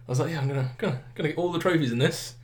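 A man's voice: on the high side, very fast. A young British man hosting a podcast, chatting casually about games while nursing a head cold that makes him sound a bit nasal and blocked up. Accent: British English. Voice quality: slightly nasal. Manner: rambling, restarts, acts out quotes. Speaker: podcast host. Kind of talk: casual gaming chat.

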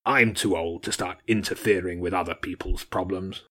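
A man's voice: sits low, steady tone, normal speed, a bit bright. A man voicing a stern, mocking old badger king in an audiobook story, with a blunt, commanding manner. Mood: weary warning. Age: older. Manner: blunt, scornful, commanding, short barked phrases.

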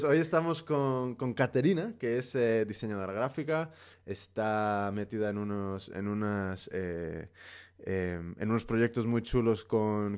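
A man's voice: on the low side, a little mellow. A man hosting his own podcast, talking informally with frequent stammers and restarts. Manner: stammering, informal, laughs easily. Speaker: podcast host. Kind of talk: casual podcast chat.

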